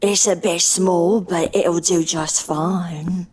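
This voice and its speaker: An actress playing a young sister in peril and possession in an audio horror drama. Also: female voice, fairly low pitch, steady tone, slow pace, darkish tone, a little hiss or hum. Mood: cool haughty. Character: frightened young sister, later possessed by a haughty demon. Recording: music under the voice.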